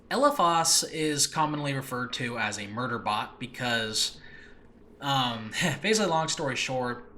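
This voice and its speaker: A man speaks casually and enthusiastically as the host of his own show. His voice is bright and forceful at an ordinary male pitch, and he rambles, often pausing to find the right word. The recording is a makeshift home one with faint noise behind him. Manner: rambling, hesitant, often searching for words. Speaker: podcast host and audio drama creator. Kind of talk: casual solo talk previewing own show.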